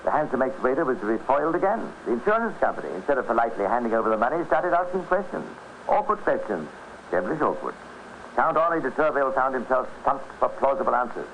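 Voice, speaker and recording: An urbane storyteller rattles through a crime tale with dry irony. The delivery is brisk and scripted, in old radio drama style, on a clearly noisy vintage recording. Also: male voice, medium pitch, fairly bright tone. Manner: dry, ironic. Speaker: narrator.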